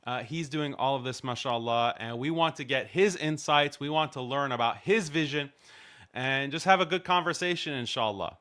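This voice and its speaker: A male podcast host with a bright, ringing tone and a smooth, clear voice. He speaks in measured, deliberate phrases, sprinkling in religious greetings as he introduces his guest and steers the conversation. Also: medium pitch. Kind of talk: podcast interview over video call.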